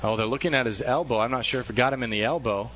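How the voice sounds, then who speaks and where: A man doing radio play-by-play for a baseball game, calling pitches in a steady flow that lifts when a play unfolds. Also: rapid-fire, a little hiss or hum. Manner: steady calls, rising excitement on plays. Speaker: play-by-play announcer.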